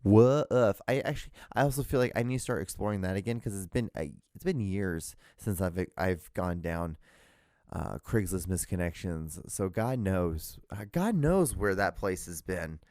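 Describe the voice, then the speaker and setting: Low-voiced loud guy rambling on his solo podcast, lots of ums and likes, dry close sound. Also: animated, normal speed, dry recording. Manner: rambling, lots of fillers and restarts. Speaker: podcast host. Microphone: handheld digital recorder.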